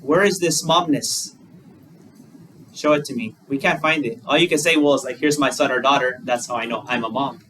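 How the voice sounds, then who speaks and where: A man's voice: mid-range voice, moderate pace, fairly bright tone. Distant male monk giving a dharma talk. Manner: conversational, plain examples, asks rhetorical questions. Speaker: Buddhist monk. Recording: distant mic with faint room noise.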